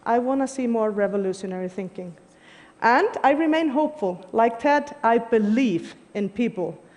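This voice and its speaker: A woman's voice: on the high side, expressive, normal speed, darkish tone. A woman, giving an earnest, emphatic prepared talk on stage. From Iceland. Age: 40s. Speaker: conference speaker. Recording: long hall reverb.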